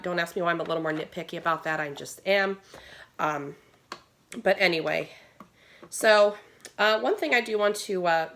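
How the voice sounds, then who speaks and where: Nasal, low-pitched American woman vlogger. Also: fairly bright tone. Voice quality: nasal, congested. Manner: informal, rambling with asides. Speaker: gaming video host. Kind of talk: casual tutorial vlog. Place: small room at home, indoors. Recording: noisy home recording with handling clicks.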